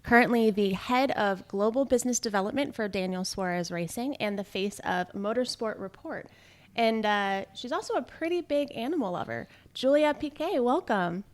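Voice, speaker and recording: A woman's voice: on the high side, lively intonation, normal speed. A woman co-hosting a podcast, introducing a guest with enthusiasm and plenty of fillers. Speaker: podcast co-host.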